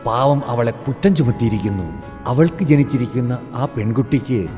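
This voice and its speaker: A man voices a bodiless god passing stern judgment in a devotional cartoon. The voice is dark and mellow, wrapped in long reverb, with faint music underneath. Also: medium pitch, animated, moderate pace, lightly acted. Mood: stern, solemn. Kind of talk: animated devotional story voice acting.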